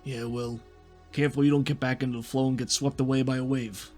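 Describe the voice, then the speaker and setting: A gruff, world-weary officer of a small mechanical race, acted out by a man in a tabletop game. His talk is blunt and folksy, pitched at an ordinary male height. Soft music plays behind him. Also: little pitch movement, quick pace, natural voice. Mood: stern. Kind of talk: in-character roleplay in a tabletop game.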